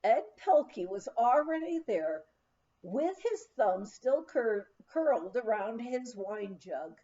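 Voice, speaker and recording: Animated high-voiced woman reading a memoir. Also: takes it slowly. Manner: expressive, acts out quoted dialogue. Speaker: audiobook reader of a memoir. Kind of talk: scripted first-person storytelling, read aloud. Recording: slightly dull, lo-fi sound.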